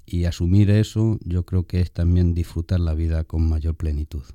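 Deep, very mellow-voiced Spanish priest. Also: male voice, little pitch movement, normal speed, no echo. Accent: Castilian Spanish, from Spain. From Albacete, La Mancha, Spain. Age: 50s. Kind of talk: reflective conversational interview answers.

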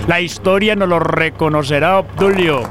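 Casting: male actor for cynical army commander, higher-set male voice, unhurried, condescending, audio drama with loud traffic behind. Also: steady tone, close to an everyday voice. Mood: stern, self-justifying. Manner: condescending, self-justifying, chuckling contemptuously. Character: smug, menacing military commander, cynical and condescending.

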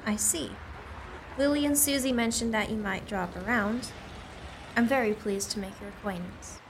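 A woman playing a polite, curious newspaper reporter in a radio play, with a measured interviewing manner. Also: on the high side, background noise, close to an everyday voice. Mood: obliging.

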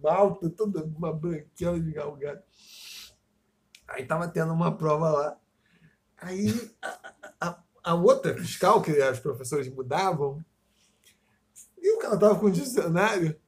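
A man's voice: on the high side, expressive, a little slow, long pauses, a little mellow. A man in casual podcast chat, rambling, swearing freely, trailing off and restarting. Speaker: podcast co-host.

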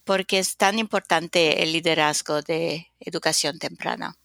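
Slow, gravelly older woman. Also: low-pitched, fairly even intonation, fairly bright tone, no room sound, studio quality. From North Africa, then Spain. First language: French. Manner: slow, thoughtful, with many hesitations. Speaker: guest author and consultant. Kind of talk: podcast interview.

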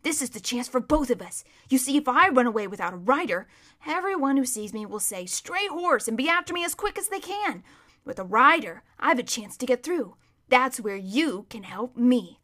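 A woman's voice: high-pitched, sing-song, normal speed, a bit bright, full character voice. A woman reading a storybook as a proud, bossy but kindly male war horse, with an emphatic, lecturing manner. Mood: persuasive. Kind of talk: children's storybook character reading.

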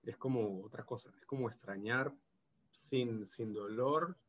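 Venezuelan Andean man, halting speech. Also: medium pitch, a little hiss or hum. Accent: Venezuelan Andean (gocho) Spanish. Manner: hesitant, many restarts and fillers. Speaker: interview guest. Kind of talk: casual video interview.

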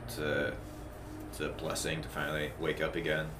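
Low gravelly young man, monotone. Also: background noise. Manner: measured, hesitant, dry. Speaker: podcast co-host. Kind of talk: casual chat.

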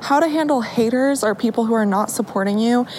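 A young woman hosting a motivational podcast, chatty and encouraging, her voice a bit raspy from a sore throat. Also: a little high, a little fast, a little hiss or hum. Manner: warm, encouraging, chatty with laughs. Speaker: podcast host. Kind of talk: upbeat motivational podcast talk.

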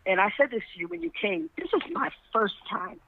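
A woman's voice: on the high side, big pitch swings, a little fast, creaky, no echo. A woman speaking earnestly and emphatically as an actress guest in a conversational interview. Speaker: stage actress, panel guest. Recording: muffled phone-like radio line.

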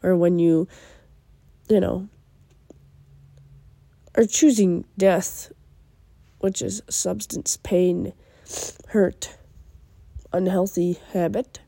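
A young woman with a stuffy cold, speaking in an earnest, halting way. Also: on the low side, steady tone, gravelly, mellow, no room sound. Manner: earnest, halting, with fillers and restarts. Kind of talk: personal reflection and poetry reading.

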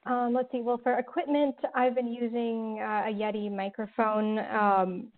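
A woman's voice: a little high, flat delivery, a little slow. A woman podcast creator chatting casually in an interview, peppering her talk with "like" and "um.". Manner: chatty, many "like" and "um" fillers, sarcastic humor. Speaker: podcast creator and writer, guest. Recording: tinny phone-like line.

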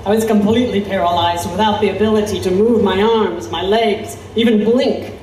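A woman's voice: mid-range voice, steady tone, moderate pace, warm tone, slight background noise, natural voice. A woman playing an intrepid Victorian reporter, telling a horror adventure as dramatic scripted narration. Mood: tense recounting. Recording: echoey, distant, music underneath.

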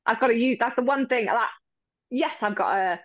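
A woman who works as a ring announcer, chatting in an interview, bubbly and quick to laugh, with a loud voice. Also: fairly high pitch, dry recording, dull audio. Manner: bubbly, laughs often, animated retelling. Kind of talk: casual interview chat.

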